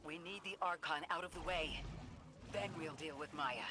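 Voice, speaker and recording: A performer playing a grave, authoritative leader and strategist in a video game drama, speaking deliberately. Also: medium pitch, heavy background noise, natural voice. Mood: commanding.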